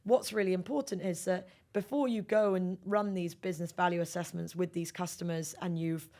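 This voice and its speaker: A woman's voice: on the low side, steady tone, fairly quick, warm tone. A woman speaking as a sales executive and podcast guest, explaining business practice emphatically in a conversational interview.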